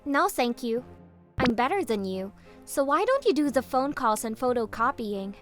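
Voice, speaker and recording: A cheeky young female office junior, played by a woman, sure of herself and acted in manga dub style. Her voice sits high. Faint music runs underneath. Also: sing-song, moderate pace. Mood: smug. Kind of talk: English manga dub acting.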